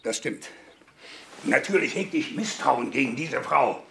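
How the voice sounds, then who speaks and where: An older man playing a frail, anxious aristocratic count in a crime drama, agitated and formal, with a gravelly, strained voice. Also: medium pitch, expressive, a little slow, sharp tone, a little hiss or hum, full character voice. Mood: agitated, bitter. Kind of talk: German crime drama acting.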